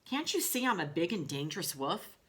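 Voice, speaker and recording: A woman's voice: fairly low pitch, big pitch swings, a little fast, fairly bright tone. A woman voicing a big, proud and determined male wolf in a storybook read-aloud, with a growling, boastful manner. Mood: indignant.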